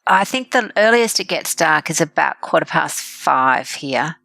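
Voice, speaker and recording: A woman's voice: low voice, lively intonation, a little slow, creaky, bright, forceful tone, no room sound. A woman, a doctor guest chatting in a friendly, casual way. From Australia. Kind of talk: casual interview chat.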